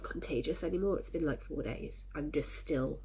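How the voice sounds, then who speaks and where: Husky, congested, chatty woman vlogger. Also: sits low, moderate pace, warm tone, poor audio. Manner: chatty, cheerful, rambling. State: congested with a cold, coughing. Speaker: crafting vlogger. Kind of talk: casual chat to camera.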